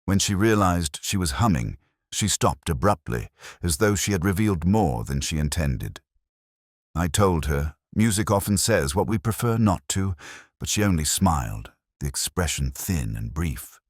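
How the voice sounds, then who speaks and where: A man narrates a literary detective mystery in a very deep voice. His delivery is measured and formal, yet the intonation moves up and down a good deal. The sound is dry, with almost no room to it. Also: big pitch swings, slightly rough, dry recording. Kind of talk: scripted first-person detective mystery narration.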